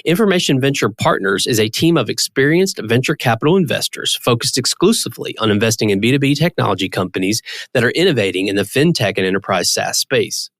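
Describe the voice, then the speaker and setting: Male podcast host, upbeat and polished, mid pitch, dry close mic. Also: lively intonation, fast, fairly bright tone, dry recording. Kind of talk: scripted podcast intro, then interviewing.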